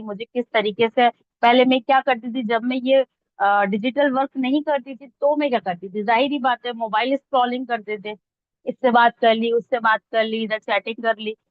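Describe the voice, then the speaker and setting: High-voiced woman teaching, dull lo-fi audio. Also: no room sound. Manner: conversational, checks that listeners follow. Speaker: instructor teaching reel editing online. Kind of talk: informal step-by-step tutorial talk. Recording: dull lo-fi close dry audio.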